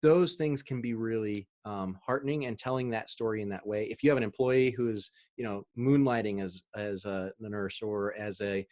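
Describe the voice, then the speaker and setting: A man's voice: lowish voice, steady tone, moderate pace, few pauses, darkish tone. A man presenting a marketing webinar over a video call, chatty, with fillers and easy laughs.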